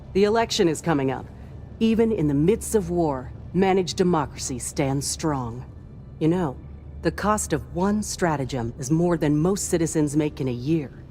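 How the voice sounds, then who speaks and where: A low-pitched starship crew chief speaks as a video game character over faint music. She is a devout patriot, earnest, dutiful and reverent about the cause. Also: a little mellow.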